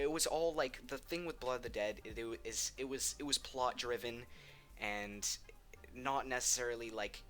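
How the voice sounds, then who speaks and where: A man's voice: mid-range voice, bright, forceful tone. A man giving casual, opinionated countdown commentary on video games, enthusiastic and emphatic, repeating words for stress. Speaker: video game ranking host.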